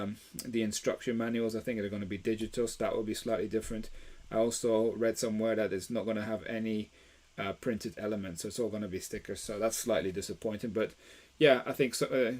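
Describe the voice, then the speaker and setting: A man from Belgium hosting a live stream about toy deals, talking casually with plenty of fillers and restarts. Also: lowish voice. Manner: chatty, many fillers and restarts.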